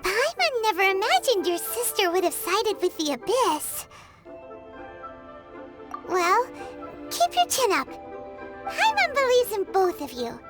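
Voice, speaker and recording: A woman voicing a tiny, excitable female fairy companion who talks about herself in the third person, in a video game. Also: unhurried, long pauses, full character voice. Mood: encouraging. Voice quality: high, squeaky, bubbly. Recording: game audio with loud background music.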